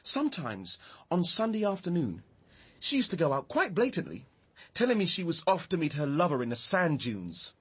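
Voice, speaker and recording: A man's voice: a little high, very animated, normal speed, fairly bright tone, husky, heavily acted. An actor playing a bitter, grieving, muddled man in a naturalistic radio drama, brooding and emotional. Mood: bitter, hurt.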